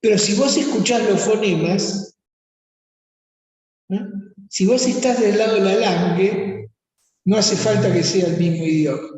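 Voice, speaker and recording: Mellow Argentine speaker in a reverberant hall. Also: medium pitch, measured pace, pauses often. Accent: Argentine Spanish. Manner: reflective, theorizing. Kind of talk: seminar discussion. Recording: very echoey hall, long reverb.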